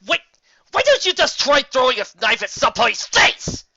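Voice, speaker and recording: Someone doing a fed up pegasus girl, short fuse, sharp voice, slightly muffled dry recording. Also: high voice, wildly swooping pitch, normal speed, voice pushed up, exaggerated voice. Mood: sarcastic. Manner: tense, through clenched teeth or bellowing. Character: temperamental, exasperated female pegasus pony.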